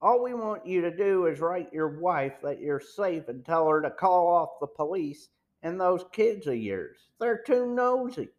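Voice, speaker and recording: A man's voice: sits high, expressive, unhurried, dull audio. A man voicing a sour, threatening smuggler chief in an audiobook, pressing and sneering. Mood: taunting. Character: sour, menacing male smuggler gang chief. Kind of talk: audiobook villain dialogue.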